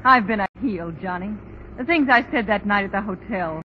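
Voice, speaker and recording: A woman's voice: mid-range voice, normal speed, natural voice. Woman acting as earnest loyal friend, worried, 1930s radio drama, muffled broadcast, faint noise. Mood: tender, regretful.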